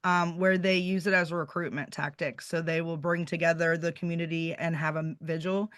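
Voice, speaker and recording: A woman's voice: lowish voice, level tone, fairly quick, a bit bright, dry recording, clean studio sound. A woman talking casually and emphatically on a panel, explaining her points.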